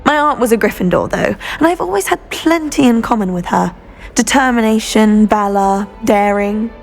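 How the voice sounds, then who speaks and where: A woman's voice: a little high, normal speed, creaky. A woman with a British accent playing a boastful, self-important teenage schoolgirl in a video game, in a haughty, affected manner. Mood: self-satisfied. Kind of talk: video game character dialogue.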